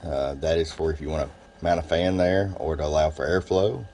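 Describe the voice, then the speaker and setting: A man with a very deep, low voice talks through a technical product demo in an informal, folksy way. He sounds like a hands-on technician and seller explaining his work. Some background noise sits behind the voice. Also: steady tone, fairly quick, mellow. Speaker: radio technician and seller presenting a product video. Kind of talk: casual workbench product demonstration. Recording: clear background noise, room ambience.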